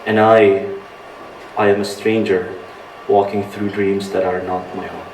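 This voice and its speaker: A man speaks at a poetry open mic. His voice is on the low side and his delivery is slow and earnest. He is picked up from a distance in an echoing bar room. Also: level tone. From Indonesia. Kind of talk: live poetry reading with halting spoken introduction. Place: indoor bar venue at a live open-mic night. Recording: roomy, distant mic, faint background noise.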